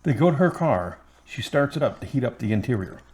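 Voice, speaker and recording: A man's voice: medium pitch, very animated, a little fast, darkish tone. A man narrating an audio drama in a scripted style, reading stage action and credits. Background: guitar music.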